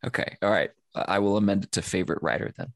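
Low-voiced male podcast host. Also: quick pace, vocal fry, dry recording, clean studio sound. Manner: loose, conversational, with fillers and restarts. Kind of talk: casual sports podcast chat.

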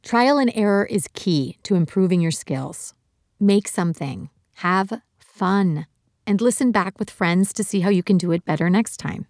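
A woman from Uruguay, the host of a podcast, reading scripted narration in a clear, evenly paced delivery. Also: mid-range voice, measured pace, a little mellow, no room sound, studio quality. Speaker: podcast host and executive producer.

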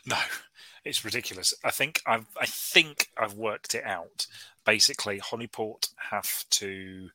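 A man chatting as a guest on a football podcast, jokey and animated, with playful outbursts. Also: fairly low pitch, unhurried, no echo. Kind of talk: casual football podcast chat.

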